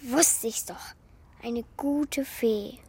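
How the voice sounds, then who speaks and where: A woman's voice: on the high side, drawn-out words, darkish tone, husky, heavily acted. A woman playing a small, naive living puppet boy in a children's radio play, earnest and plaintive. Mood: wondering, eager.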